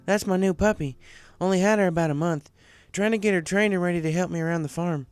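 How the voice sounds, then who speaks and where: A man's voice: high voice, quick pace, clear, resonant tone, no room sound, soft background music, voice pushed up, close to an everyday voice. A man voicing a friendly, hospitable young farm pony mare with a folksy, plain-spoken manner, in a cartoon fan story. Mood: warm, folksy.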